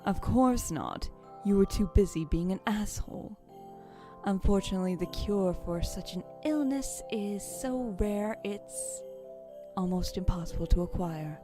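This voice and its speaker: A woman playing a stern, sharp-tongued Ghost of Christmas Present in an audio drama, with a formal, scolding way of talking. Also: mid-range voice, a little slow, music in the background, lightly acted. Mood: grave, scornful. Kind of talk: fan audio drama acting.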